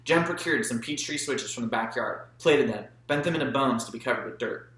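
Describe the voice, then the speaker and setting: A man's voice: mid-range voice, fairly quick, fairly bright tone, roomy sound. A man reading a literary audiobook as narrator, in scripted storytelling style. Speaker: audiobook reader.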